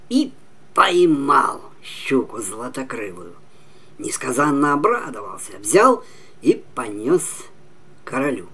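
An elderly man in his seventies tells a fairy tale as a storyteller. His voice is husky and swings widely in pitch. He goes slowly, leaning on key words and pausing for drama, sometimes sinking to a near whisper. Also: a bit bright. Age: 70s. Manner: slow, emphatic, with dramatic pauses and drops to near whisper. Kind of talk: expressive fairy-tale narration.

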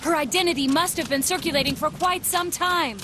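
A woman playing a composed, analytical teenage girl detective in a video game, speaking in measured, formal, precise phrases. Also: high voice, sharp tone, a little hiss or hum. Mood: grave, explanatory.

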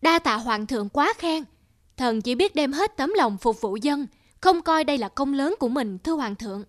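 A woman's voice: sits high, animated, moderate pace, no echo, studio quality. A woman voicing a dutiful middle-aged male minister in a cartoon folktale, formal and deferential. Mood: respectful. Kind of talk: historical cartoon folktale dub.

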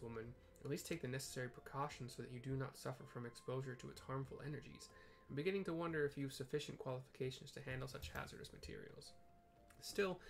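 A man's voice: medium pitch, gravelly, close to an everyday voice. A man voicing a pompous, wordy professor from a fantasy game, with theatrical scholarly diction, over game music. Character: pompous, wordy male professor of crystal science. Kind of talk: reading game dialogue aloud.

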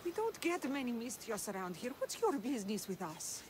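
An actress playing a solemn, grieving older village leader in ancient Greece, in a video game. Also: female voice, a little high, sing-song, normal speed, darkish tone, soft background music. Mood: wary.

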